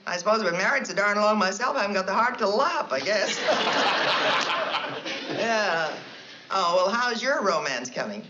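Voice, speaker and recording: A woman's voice: mid-range voice, expressive, measured pace, long pauses, heavy background noise, close to an everyday voice. A woman playing a chatty married woman gossiping on the phone, firing off punchlines and laughing at them. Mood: gossipy. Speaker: comedienne doing a phone monologue. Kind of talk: 1960s sitcom acting. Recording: dull old TV audio with loud studio audience.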